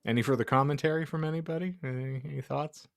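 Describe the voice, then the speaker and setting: A man's voice: fairly high pitch, fast talker, gravelly, dry recording. A man hosting a comedy podcast, reading fan fiction aloud in a profane, mock-exasperated way between casual chat. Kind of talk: comic dramatic reading mixed with casual chat.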